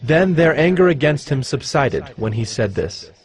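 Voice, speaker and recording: A man narrating dramatized scripture in a solemn, measured storytelling manner. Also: on the high side, animated. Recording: clear music behind the voice.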